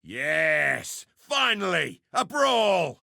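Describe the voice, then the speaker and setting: Gravelly, brash brawler. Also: male voice, a little high, sing-song, drawn-out words, no echo, studio quality, full character voice. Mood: gleeful, taunting. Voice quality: gravelly, forceful. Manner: taunting, swaggering. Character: brash brawling male pirate fighter, gleefully violent, taunting. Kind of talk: video game combat barks.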